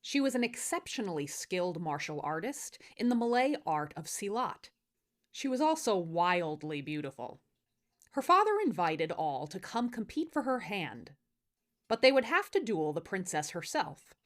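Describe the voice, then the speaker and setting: A woman hosting and narrating folktales in an expressive, theatrical storytelling style made for social video. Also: on the low side, normal speed. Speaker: storyteller and series host.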